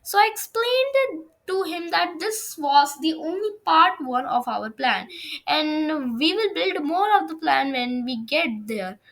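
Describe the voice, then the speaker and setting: High, creaky female voice reading aloud. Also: animated, measured pace, a bit bright. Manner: stumbles over words while reading. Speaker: fan fiction reader on own video channel. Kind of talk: amateur read-aloud of a first-person story.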